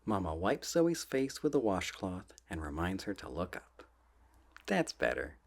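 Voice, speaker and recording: Soft spoken guy doing asmr kids story reading, gentle n playful, really slow, pitch moves around a lot. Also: wildly swooping pitch, vocal fry. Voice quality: soft, often dropping to a whisper. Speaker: ASMR channel host reading children's books aloud.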